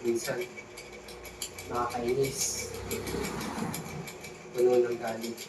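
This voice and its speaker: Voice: mid-range voice, little pitch movement, takes it slowly, distant mic, natural voice. A student performer playing an abused, troubled youth in an amateur stage play, pleading and brooding. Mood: brooding, weary. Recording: echoey noisy hall stage recording.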